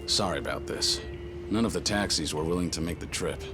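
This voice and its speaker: Man acting a very deep, stoic tough guy. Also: brisk, over music, lightly acted. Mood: stoic, subdued. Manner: terse, understated. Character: stoic, weary, polite older tough guy visiting old friends. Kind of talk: English video game cutscene acting.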